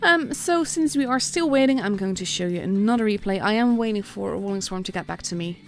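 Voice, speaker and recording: A woman's voice: mid-range voice, animated, a little fast, soft background music. A woman with a Dutch accent giving casual, chatty live commentary on a game as a stream host. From Netherlands. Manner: chatty, informal, reacting as play unfolds.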